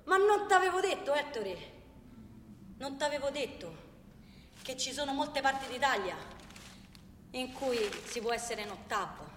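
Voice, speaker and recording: An actress playing a defiant, impassioned protester in a theatrical monologue, declaiming insistently in a Roman Italian accent with emphatic repetition. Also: female voice, sits high, sing-song, unhurried, long pauses, a bit bright, exaggerated voice. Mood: agitated, pleading. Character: defiant, impassioned woman protester ranting on a train. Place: large reverberant room, far from microphone. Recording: distant, echoey hall with background noise.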